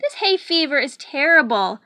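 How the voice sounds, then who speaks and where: A woman's voice: sits high, very animated, moderate pace, sharp tone, no echo, pitched above the performer's own voice, heavily acted. A woman voicing a miserable, complaining storybook elephant with hay fever.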